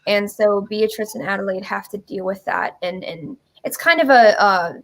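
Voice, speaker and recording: A woman's voice: mid-range voice, moderate pace, a bit bright. A woman telling a story in casual, informal chat as an interview guest, with a giggly manner. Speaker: guest presenting a musical.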